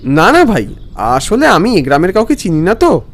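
Man playing an animated, high-pitched earnest storyteller. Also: moderate pace, slight background noise, exaggerated voice. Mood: polite, concerned. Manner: expressive, dramatic, earnest. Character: polite, hesitant townsman recounting a strange afternoon. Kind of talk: scripted story narration with acted dialogue.

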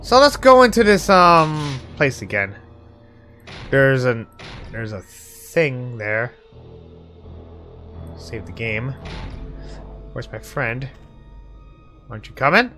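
A man's voice: medium pitch, big pitch swings, takes it slowly, long pauses, faint music behind. A young man with a cold, coughing now and then, chatting casually as he hosts a game playthrough. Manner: chatty, reacts loudly to surprises. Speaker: let's play host. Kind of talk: casual gameplay commentary.

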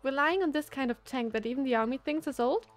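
A woman's voice: high-pitched, fast, faint music behind, close to an everyday voice. A woman voicing a fiery, proud young woman scientist from a visual novel game, emphatic and indignant. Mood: exasperated.

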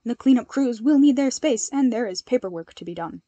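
A woman's voice: on the high side, expressive, a little fast, warm tone, dry recording, lo-fi sound. A woman voicing a stiff, earnest young hero leader who speaks formally and emphatically. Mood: earnest, emphatic.

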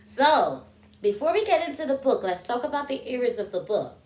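A woman in her thirties leading children's story time, reading aloud in an animated, questioning way and stretching out key words for young listeners. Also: high-pitched, normal speed, slight background noise. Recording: roomy indoor echo.

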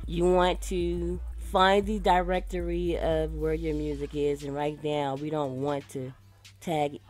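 A woman's voice: lowish voice, warm tone. Casual woman giving a tutorial over music. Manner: informal, instructive, addressing listeners directly. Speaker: radio DJ giving a software tutorial. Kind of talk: casual screen-recorded tutorial. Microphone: voice-over for a screen recording.

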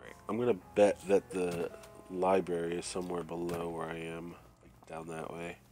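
A man commentating casually while playing a prison game, his voice raspy and nasal from a cold, thinking aloud as he plays. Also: deep voice, monotone, moderate pace, warm tone. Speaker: gameplay commentator. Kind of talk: casual let's-play commentary. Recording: faint game music behind.

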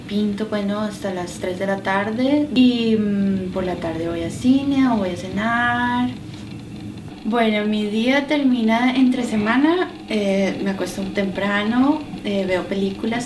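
Colombian woman in a noisy, echoey room. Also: medium pitch. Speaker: artist and designer being interviewed. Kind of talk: interview answers about her own life and work. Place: indoors in a penthouse. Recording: roomy, distant mic, clear background noise.

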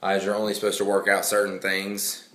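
A man's voice: sits low, completely flat delivery, brisk, fairly bright tone, roomy sound. A young man vlogging from home, rambling casually with lots of fillers and restarts. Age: young adult. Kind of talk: casual vlog monologue. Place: small hallway at home at night.

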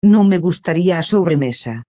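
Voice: mid-range voice, expressive, a little fast, mellow, dry recording, studio quality. A speaker reading short phrase prompts for a language lesson, in brief clipped lines. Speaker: prompt reader.

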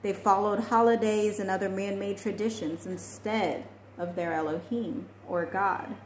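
A young adult woman reading a children's storybook aloud in a measured, expressive way. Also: medium pitch. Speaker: children's book reader. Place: small indoor room at home. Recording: echoey room with faint noise.

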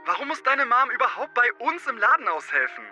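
A man's voice: very high-pitched, sing-song, fairly quick, bright, forceful tone, faint music behind, heavily acted. A young man playing an irritated, dismissive teenage boy leaving a brusque, slangy voice message in a German audio drama.

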